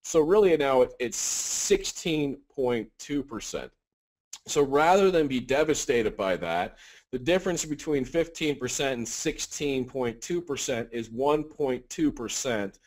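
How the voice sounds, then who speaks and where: A man giving a casual business webinar update, working through percentages out loud with a reassuring, rambling manner. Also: medium pitch. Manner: casual, talks math out loud, frequent fillers and restarts, reassuring. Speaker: company leader on webinar.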